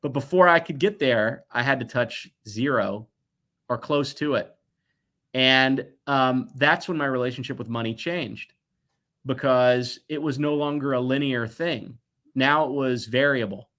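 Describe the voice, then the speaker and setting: A man in his forties speaks at a middle pitch in a conversational interview style. He is earnest and reflective, and he leans on key words with pauses for emphasis. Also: a bit bright. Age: 40s. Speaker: guest, toy company executive.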